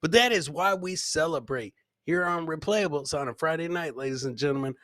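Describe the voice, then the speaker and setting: A man's voice: a little high, a little fast, sharp tone, dry recording, studio quality. A middle-aged man hosting a live comedy show, bantering casually with chat and repeating phrases like a hype man. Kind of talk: casual livestream banter, reacting to clips and chat. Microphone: close podcast mic on boom, headset.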